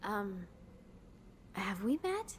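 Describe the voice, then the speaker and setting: A woman playing an excitable, polite young female assistant in a video game, eager and earnest. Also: medium pitch, extremely slow, slight background noise. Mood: surprised, grateful. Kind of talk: video game cutscene acting. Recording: game cutscene with loud background music.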